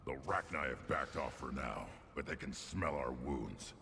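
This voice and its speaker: A hulking male alien brute, extremely low and full of gravel. He talks in blunt, clipped bursts like a battle-hungry soldier, with noisy game ambience around him. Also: expressive, a little slow, heavily acted. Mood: grim. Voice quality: deep gravelly growl. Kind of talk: video game voice acting. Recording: quiet game audio under noisy ambience.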